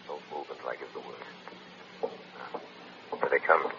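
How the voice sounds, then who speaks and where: An actor playing an invisible avenger in an old radio drama, authoritative, formal and ominous. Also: male voice, rumbling low, little pitch movement, moderate pace. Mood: brisk, courteous. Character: invisible male avenger, commanding, ominous, courteous. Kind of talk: 1940s radio acting. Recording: muffled, faintly noisy old radio recording.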